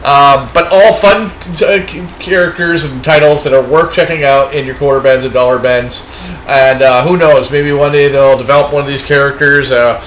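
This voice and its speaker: A man's voice: mid-range voice, fairly even intonation, moderate pace, fairly bright tone, slight background noise. A man with a Canadian accent chatting casually about his comic finds, rambling and upbeat, with many fillers. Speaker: comic book reviewer. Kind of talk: casual show-and-tell chat. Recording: echoey room.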